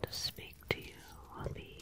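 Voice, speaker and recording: A woman's voice: normal speed, sharp tone. A woman presenting tarot cards as a reader in a whispered ASMR roleplay.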